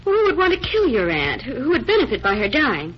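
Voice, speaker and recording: A forthright female assistant with a high, animated voice. She is outspoken and inquisitive, a 1940s radio sidekick heard on a muffled old recording. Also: fast talker, a little hiss or hum. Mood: curious.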